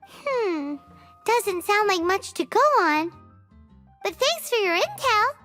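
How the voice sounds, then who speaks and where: A woman voicing a tiny, childlike fairy companion in a video game, chirpy, curious and excitable. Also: very high-pitched, slow pace, soft background music, exaggerated voice. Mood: inquisitive. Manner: refers to self in third person, exclamatory. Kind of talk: video game character acting.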